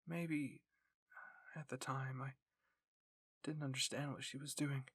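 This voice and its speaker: Man reading as a young woman soldier, anime roleplay, sad, subdued, quietly bitter, husky, dry recording. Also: mid-range voice, flat delivery, slow pace, no echo. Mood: downcast. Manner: subdued, downcast. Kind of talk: anime fan fiction roleplay.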